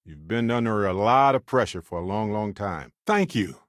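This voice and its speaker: A man acts out an upbeat space explorer who travels as the player's companion in a video game. His fairly low voice swings freely up and down as he quips and reassures. The delivery is wry, warm-hearted and theatrically stressed, recorded dry. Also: expressive, normal speed, no room sound, close to an everyday voice. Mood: earnest, warm.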